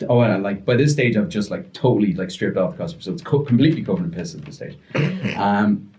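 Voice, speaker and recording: A young man telling a story casually on a podcast, animated and breaking into voices as he retells it. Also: lowish voice, fast. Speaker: podcast guest.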